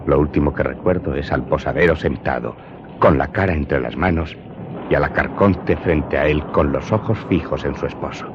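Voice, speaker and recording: A man's voice: very deep voice, background noise. An actor playing a haunted, humble Corsican smuggler turned servant who confesses his story in a radio drama. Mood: grave, haunted. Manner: deferential, haunted, deliberate storytelling. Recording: muffled audio under prominent music.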